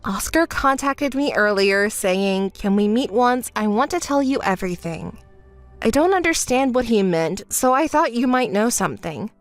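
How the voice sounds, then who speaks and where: A woman performs a sensible, wronged young woman for a melodramatic story. She keeps her voice low and controlled, speaking in a measured, dismissive and reproachful way. The sound is dry and close. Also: lively intonation, no room sound, faint music behind, lightly acted. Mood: calm, puzzled.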